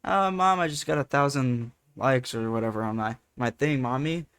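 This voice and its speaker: A man voicing a little girl calling to her mother in a high, whiny, childish voice. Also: moderate pace, fairly bright tone, clean tone, lightly acted. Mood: proud.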